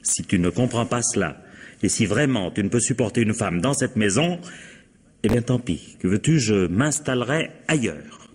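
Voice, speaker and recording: An actor playing a weary, firm husband in old French radio drama style, measured and reproachful. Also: male voice, on the low side, expressive, husky, in a large hall. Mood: exasperated, firm. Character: exasperated, firm husband, weary of his wife's suspicions.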